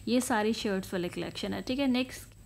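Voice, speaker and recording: A woman's voice: mid-range voice, quick pace, gravelly. Chatty woman touring a store. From Pakistan. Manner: chatty, repeats filler phrases, lists prices. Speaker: shopping vlogger. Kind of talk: casual walkthrough narration. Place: indoor clothing store.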